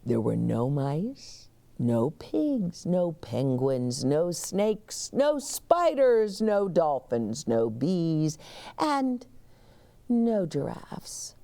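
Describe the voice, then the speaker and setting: A woman's voice: low-pitched, sing-song, extremely slow, creaky, dark tone. A woman hosting a children's story time, reading aloud expressively with chatty asides, explanations and questions for young listeners.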